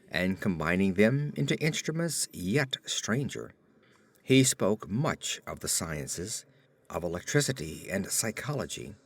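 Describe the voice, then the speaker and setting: A man reading a horror story as an audiobook narrator, with theatrical, deliberate phrasing. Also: lowish voice.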